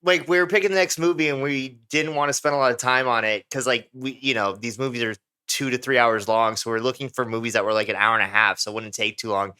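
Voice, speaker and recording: A young man from America talking loosely on a podcast, his clear voice bright and forward. He rambles through stories, leaning on filler words as he goes. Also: mid-range voice, fairly even intonation, fast talker, hardly any pauses. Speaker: podcast co-host. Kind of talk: casual chat.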